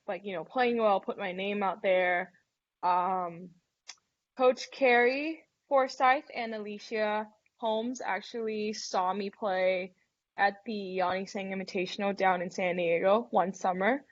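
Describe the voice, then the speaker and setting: A woman's voice: mid-range voice, lo-fi sound. A young woman from Thailand whose first language is Thai, chatting casually in a webinar interview and filling her speech with "like" and "um". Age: young adult. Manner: chatty, many fillers like "like" and "um". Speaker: college golfer guest.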